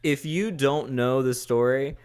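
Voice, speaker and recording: A man's voice: mid-range voice, a little slow, a little mellow, clear, resonant tone. A man co-hosting a podcast, chatting casually and riffing on ideas in a questioning, conversational way. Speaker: podcast co-host.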